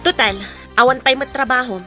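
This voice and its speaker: Shrill, nagging housewife. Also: female voice, fairly quick, faint music behind. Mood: scornful nagging. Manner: sharp, sarcastic. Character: nagging, scornful housewife scolding her husband. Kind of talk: radio drama acting.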